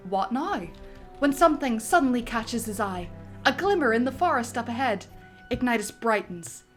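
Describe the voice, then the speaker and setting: A woman narrating a film script theatrically, with a comedic dramatic flair. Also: a little high. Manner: theatrical, breaks easily into giggles.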